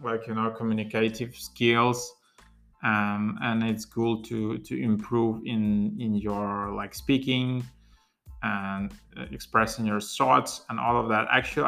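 A man's voice: lowish voice, vocal fry, bright, forceful tone. A young man from Ukraine, a non-native English speaker, hosting a solo tech podcast in a rambling, casual way with many fillers and restarts. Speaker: podcast host.

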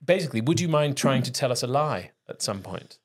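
A man's voice: mid-range voice, lively intonation, slightly rough. Upbeat Welsh man hosting a podcast. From Wales. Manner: upbeat and giggly, quick to laugh. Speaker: podcast host. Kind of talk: casual podcast chat.

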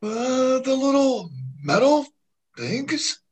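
A flustered radio-mystery sidekick, played by an actor, talks in a husky, rough voice. The speech is slow and hesitant, with very lively swings of pitch, earnest and forever puzzled. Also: full character voice. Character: bumbling, deferential detective's sidekick, easily flustered. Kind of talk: comic old-time radio murder mystery acting.

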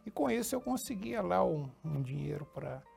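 A man's voice: sits high, very animated, normal speed, gravelly, darkish tone, soft background music. A middle-aged or older man, telling his story casually as an interview guest, with rambling asides and frequent tag questions. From born in Portugal, grew up in Rio de Janeiro, Brazil. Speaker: interview guest, technology executive.

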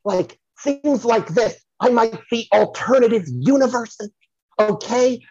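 A man's voice: extremely high pitch, slow, a little mellow, dry recording, lo-fi sound, heavily acted. A man playing a flamboyant, touchy psychic who promotes himself and rambles effusively, repeating himself, as a comedy podcast guest. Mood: salesy, showy. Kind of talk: comedic audio play banter.

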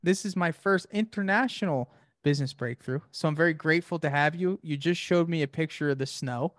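A man's voice: on the high side, a little fast, dry recording, studio quality. A man hosting a business podcast, upbeat and motivational, speaking in punchy slogans. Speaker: podcast host.

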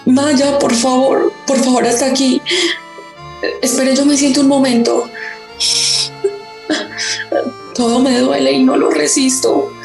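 A woman's voice: sits high, flat delivery, normal speed, creaky. An actress playing a dreamy, determined young woman in an emotional radio drama. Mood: pained, pleading. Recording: echoey, loud music behind.

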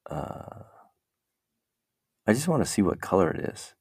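Deep gravelly man, slow rambling chat. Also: big pitch swings, darkish tone, no room sound, studio quality. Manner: meandering, muses aloud while browsing. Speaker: podcast host.

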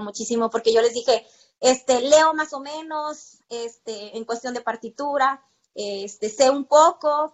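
A woman's voice: sits high, dull audio. A Mexican woman talking conversationally as an interview guest, telling personal stories in a talkative, emphatic way and repeating words for stress. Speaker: interview guest, percussion teacher.

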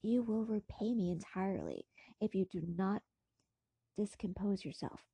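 A woman's voice: mid-range voice, expressive, a little slow, warm tone, slightly rough, no echo, full character voice. A woman voicing a caring young male friend in a storybook, soothing and formal. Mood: gentle, encouraging.

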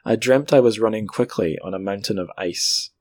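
A man's voice: fairly low pitch, moderate pace, a little mellow. A man hosting a podcast, speaking in conversation or reading aloud. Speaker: podcast host.

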